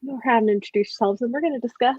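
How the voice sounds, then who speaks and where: A woman's voice: fairly high pitch, rapid-fire, a little mellow, husky, dry recording. Female host, casual and effusive, close dry sound, slightly lo-fi. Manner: enthusiastic, effusive. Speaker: host of a book readalong live show. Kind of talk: casual chat. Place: indoors, online group live stream.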